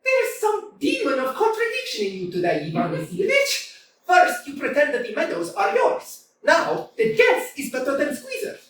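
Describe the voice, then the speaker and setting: A woman's voice: extremely high pitch, very animated, normal speed, a little hiss or hum, exaggerated voice. A woman voicing a headstrong, argumentative young woman in a staged play reading, teasing and stubborn, heard from a distance in an echoey hall. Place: small theatre stage.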